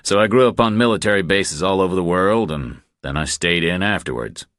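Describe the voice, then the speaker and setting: A man's voice: deep voice, brisk, fairly bright tone, no room sound, studio quality. A man voicing a laconic, easygoing ex-Army drifter in an audiobook, terse and understated. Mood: relaxed, candid.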